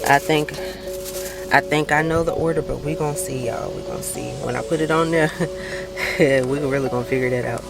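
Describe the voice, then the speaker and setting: A woman's voice: low voice, moderate pace, vocal fry, loud music behind the voice. A woman chatting casually to her viewers, her voice nasal and stuffy from a sinus infection. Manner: chatty, addresses viewers as y'all. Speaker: beauty vlogger. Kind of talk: casual vlog chat. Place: car, then home.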